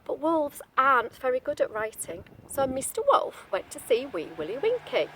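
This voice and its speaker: A woman with a high, swooping voice reads a picture book to little children. She is expressive and keeps adding chatty asides and questions, like a teacher. There is faint noise behind her. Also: normal speed. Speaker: storybook reader, teacher-like. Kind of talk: reading a picture book aloud to young children.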